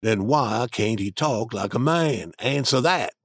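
A man reading an audiobook, voicing a stubborn grown man who argues slowly and insistently from common sense. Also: mid-range voice, lively intonation, breathy edge, no room sound, clean studio sound, voice pushed down. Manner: slow, insistent, arguing.

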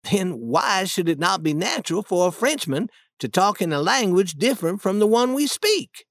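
A man's voice: high-pitched, sing-song, normal speed, fairly bright tone, no room sound, studio quality, voice pushed up, exaggerated voice. A man reading an audiobook, voicing a young boy who patiently reasons an argument step by step. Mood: reasoning.

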